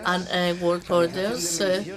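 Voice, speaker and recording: A woman interpreting a conference talk into English, speaking haltingly with many drawn-out "uh" fillers between words. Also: on the low side, flat delivery, a crowd in the background.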